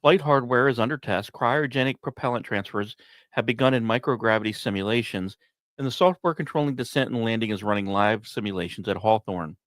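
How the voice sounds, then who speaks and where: A man hosting a podcast, reading scripted narration in a measured, dramatic storytelling way with occasional conversational asides. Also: lowish voice, fairly bright tone, dry recording. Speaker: podcast host.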